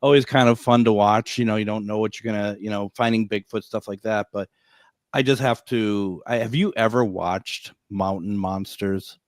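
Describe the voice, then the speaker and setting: A man's voice: fairly low pitch, fairly even intonation, moderate pace, no echo, studio quality. A man hosting a radio interview, chatty and often repeating words as he thinks aloud. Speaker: radio show host. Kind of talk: casual interview conversation.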